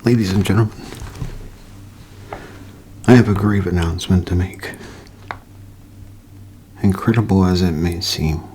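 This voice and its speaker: A man playing a solemn radio news announcer in a 1930s-style drama, speaking in measured, halting phrases. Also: deep voice, steady tone, dark tone, a little hiss or hum. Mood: grave, somber. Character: grave male radio news announcer delivering disaster bulletin.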